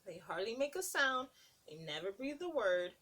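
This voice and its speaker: A woman's voice: fairly high pitch, sing-song, normal speed, fairly bright tone. A woman preschool teacher reading a picture book aloud to young children, stopping to ask them questions. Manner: sing-song, asks children questions between pages.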